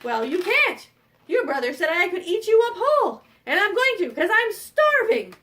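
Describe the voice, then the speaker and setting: A woman voicing a mean, hungry bridge troll in a storybook tale, loud, drawn-out and demanding. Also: extremely high pitch, very animated, full character voice. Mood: menacing.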